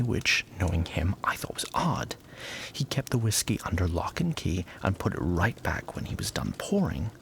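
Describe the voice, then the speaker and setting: A man playing a gentle, grave, sorrowful captain in a fantasy audio drama, hushed and hesitant. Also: sits low, gravelly, a little hiss or hum. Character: sad, gentle, grave male captain called Professor. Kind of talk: audio fiction acting.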